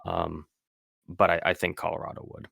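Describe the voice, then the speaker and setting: A man co-hosting a casual sports podcast, talking haltingly with lots of ums while fighting a sore throat. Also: deep voice, completely flat delivery, vocal fry, a bit bright, no echo, studio quality. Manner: halting, many ums and restarts. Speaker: podcast co-host.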